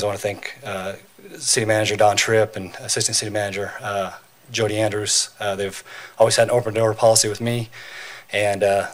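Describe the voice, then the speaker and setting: A union local leader addressing a city council, halting and full of uhs, appreciative of the city's leaders. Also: male voice, lowish voice. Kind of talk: council meeting remarks. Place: council chamber.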